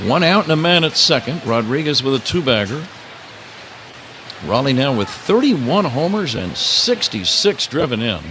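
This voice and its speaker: A man's voice: mid-range voice, big pitch swings, normal speed, a bit bright. An older man doing live radio play-by-play of baseball, breaking into casual banter, from a stadium broadcast booth. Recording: clear ambient ballpark noise behind.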